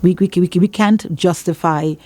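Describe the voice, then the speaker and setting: A woman's voice: lowish voice, moderate pace, warm tone, no echo. A woman with a Trinidadian accent speaking as a church leader in an interview, emphatic and repeating phrases for emphasis like a preacher giving testimony.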